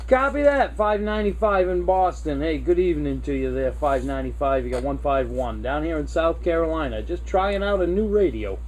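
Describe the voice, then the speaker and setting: An American man with a fairly high voice talks casually over CB radio. He is courteous and speaks in hobbyist jargon, with clear noise behind him. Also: expressive, brisk, few pauses, low-quality recording.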